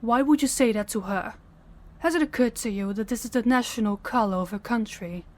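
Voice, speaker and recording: A woman's voice: fairly high pitch, a little mellow, lightly acted. A woman playing a young princess, stern, principled and formal, in an audio roleplay. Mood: stern, commanding. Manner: formal, commanding, measured diction. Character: young princess, stern, authoritative, compassionate, defiant.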